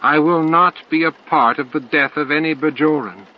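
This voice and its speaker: A man voicing a gentle but resolute female insectoid alien in an audiobook, with solemn, formal speech. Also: a little high, moderate pace, voice pushed up, lightly acted. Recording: dull lo-fi audio, clear noise.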